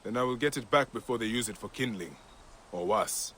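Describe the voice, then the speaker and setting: An actor playing a calm, resolute ancient warrior protector with a measured, dry-witted way of talking in a video game. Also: male voice, medium pitch, a little hiss or hum, lightly acted. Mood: calm, wry. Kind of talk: video game cutscene acting.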